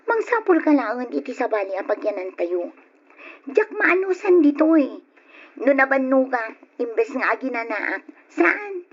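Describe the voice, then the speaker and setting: A woman's voice: sits high, sing-song, measured pace, husky, exaggerated voice. A woman acting a discontented, nagging young wife and mother in an Ilocano radio drama, with an Ilocano accent. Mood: nagging, fed up. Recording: dull, lo-fi old radio recording.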